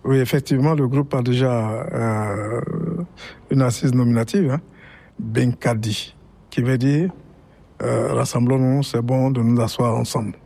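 A man taking part in a workshop, explaining his group's choices in a relaxed way. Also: mid-range voice, unhurried, gravelly. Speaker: workshop participant.

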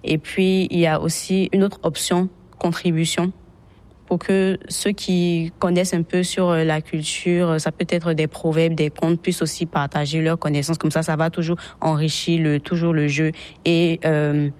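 A woman's voice: sits low, little pitch movement, moderate pace, darkish tone. A woman from Côte d'Ivoire, earnestly explaining her team's project with some hesitations. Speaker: workshop participant.

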